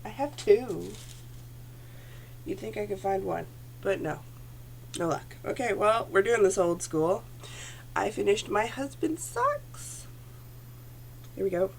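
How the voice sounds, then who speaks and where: A woman's voice: mid-range voice, big pitch swings, slow pace, creaky, long pauses, darkish tone, a little hiss or hum. A woman hosting a knitting podcast from home, chatting casually to her computer camera with a phlegmy throat, rambling and correcting herself as she goes. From Canada. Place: home room. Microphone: computer camera at desk.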